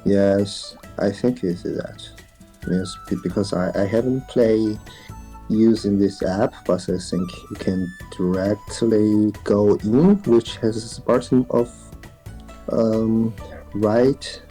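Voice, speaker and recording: A man with a Chinese accent speaks slowly in a soft, dark tone. He is a guest explaining a game casually, in short, hesitant answers. Music plays faintly behind him. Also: fairly low pitch, fairly even intonation. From China. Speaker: guest co-player.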